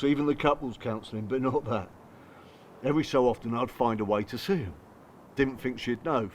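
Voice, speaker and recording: A man's voice: fairly low pitch, slight background noise, lightly acted. An actor playing a bitter, working-class English father in a radio drama, confessing his hurt in blunt, colloquial, strained speech. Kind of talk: radio drama dialogue and monologue.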